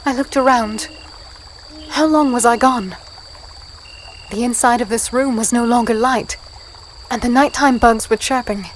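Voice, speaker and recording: Casting: actress as young human captive heroine, higher-pitched, hesitant and curious, fantasy audio drama with insect noise behind. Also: female voice, measured pace, long pauses, natural voice. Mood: introspective. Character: guarded, curious young human woman, narrating her captivity. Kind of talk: first-person audio drama narration and dialogue.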